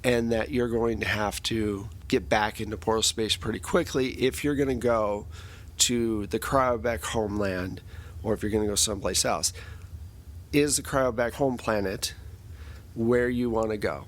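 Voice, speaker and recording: A man's voice: fairly low pitch, normal speed. A man casually narrating a tabletop sci-fi game as its game master, improvising as he goes.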